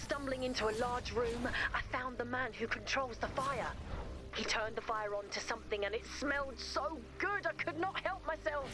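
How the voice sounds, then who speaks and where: High-voiced eccentric, excitable woman played by an actress. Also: moderate pace, natural voice. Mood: plaintive, earnest. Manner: theatrical, expressive, reads aloud dramatically. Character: eccentric, sweet, nosy, excitable female character. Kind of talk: video game character acting.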